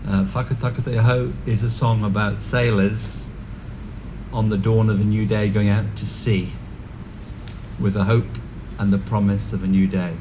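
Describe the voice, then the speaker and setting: A man with a Welsh accent speaks in a fairly low voice, delivering an earnest ceremonial address. He hesitates often, with ums and restarts. Clear background noise from the gathering sits behind him. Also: flat delivery, normal speed. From North Wales. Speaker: guest speaker. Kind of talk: ceremonial speech. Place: community event venue.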